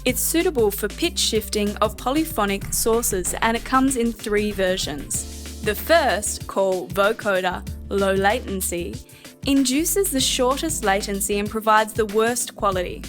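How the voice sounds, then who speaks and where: A woman narrating a scripted software tutorial in a measured, explanatory manner. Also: medium pitch, soft background music.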